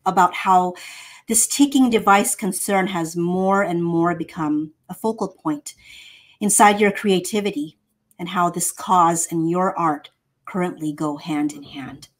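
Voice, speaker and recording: A woman's voice: lowish voice, unhurried. A middle-aged woman hosting a poetry interview on a video call, praising the poem and asking questions with many fillers. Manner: effusive praise, hesitant with fillers. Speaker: host. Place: home room.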